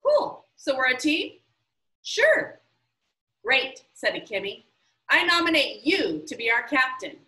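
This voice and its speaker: A woman reads a children's chapter book aloud in a high, bright voice that leaps up and down with every character. She performs the story with animated expression. The room around her rings with echo. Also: unhurried, pauses often. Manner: animated, voices the characters with big pitch jumps. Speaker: reader of a children's novel.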